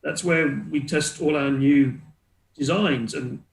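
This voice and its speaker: A man's voice: fairly high pitch, slow pace, echoey room. A man voicing a flustered, grudging fantasy city guard who hesitates and repeats his words. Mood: hesitant, unsure.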